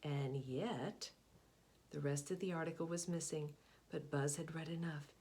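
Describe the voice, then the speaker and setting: A woman reading a picture book aloud, expressive, often dropping to a hushed whisper. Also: sits low. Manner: expressive, slow, hushed asides. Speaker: storybook reader.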